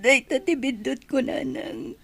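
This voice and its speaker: An emotional grown daughter in an Ilocano radio drama, acted by a woman. Her voice is high-pitched, with an Ilocano accent and heartfelt delivery. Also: a little slow, creaky. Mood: tearful, pleading. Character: emotional grown daughter and mother seeking her mother's forgiveness.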